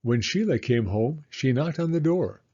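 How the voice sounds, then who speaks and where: A man reading a children's picture book aloud in an expressive, animated way, as a storyteller speaking to young listeners. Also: medium pitch, moderate pace, breathy edge, dull audio. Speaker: storyteller, former school teacher.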